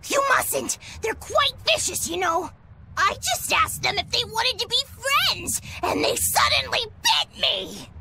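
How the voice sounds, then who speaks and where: Casting: tiny pompous game creature, piercingly high, wildly animated, loud and excitable. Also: female voice, unhurried, vocal fry, heavy background noise, full character voice. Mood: indignant. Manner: shouting, overexcited. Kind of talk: English game voice acting.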